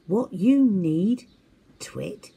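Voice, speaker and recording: A woman's voice: mid-range voice, expressive, very slow, warm tone, breathy edge, pitched above the performer's own voice, full character voice. A woman voicing a mean older brother owl who mocks and tricks his little brother in a sly tone. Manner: sly and mocking.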